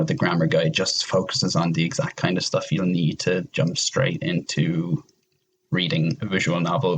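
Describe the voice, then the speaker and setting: A man's voice: deep voice, vocal fry, a little hiss or hum, low-quality recording. A man explaining things in casual podcast chat, rambling a little and circling back over his points. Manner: rambling, repeats himself for emphasis. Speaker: podcast panelist.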